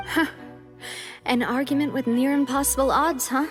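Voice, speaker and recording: An actress playing a thoughtful, analytical young challenger in an anime game. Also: female voice, high-pitched, steady tone, normal speed, soft background music, lightly acted.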